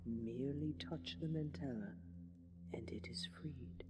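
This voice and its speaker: An actor playing a mysterious, all-knowing master of fate in a fantasy game, deliberate and portentous. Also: medium pitch, lightly acted. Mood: instructing. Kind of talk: scripted fantasy game acting. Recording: loud music over the voice.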